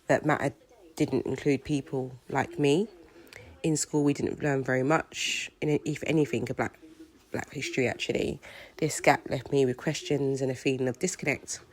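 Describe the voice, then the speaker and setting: Middle-aged person reflecting on growing up, measured and thoughtful. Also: mid-range voice. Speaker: interviewee.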